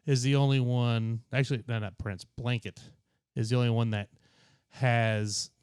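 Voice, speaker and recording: A man's voice: medium pitch, fairly even intonation, moderate pace, darkish tone, clear, resonant tone, no room sound, clean studio sound. A tipsy man co-hosting a podcast, chatting in a rambling, crude, joking way. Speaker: podcast co-host. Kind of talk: casual chat.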